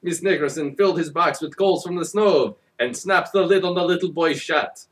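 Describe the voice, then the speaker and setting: A man's voice: fairly high pitch, normal speed. A man narrating a comic dramatic reading of a children's story, hammy and sarcastic, recorded at some distance from the microphone.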